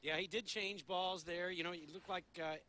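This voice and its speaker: A man giving relaxed, thoughtful color commentary on a bowling match, with fillers like "you know". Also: high voice, normal speed. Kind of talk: bowling analysis. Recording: broadcast with crowd noise.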